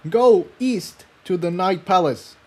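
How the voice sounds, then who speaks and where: Man acting a high-pitched, desperate pony soldier. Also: animated, takes it slowly, darkish tone, exaggerated voice. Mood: desperate, panicked. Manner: urgent, desperate. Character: panicked male armored pony soldier on a recording.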